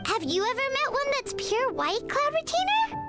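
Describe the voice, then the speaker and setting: A tiny chirpy fairy sidekick speaks in a very high, squeaky, childlike voice. She is eager and helpful, a video game companion with music playing behind her. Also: huge pitch swings, normal speed, exaggerated voice.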